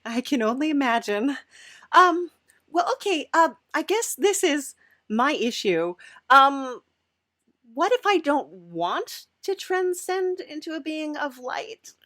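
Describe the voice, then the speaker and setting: A woman hosting an improvised comic interview, speaking in a hesitant, tentative way with many halting starts. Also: high voice, big pitch swings, pushed and bright, dry recording, studio quality. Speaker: podcast host.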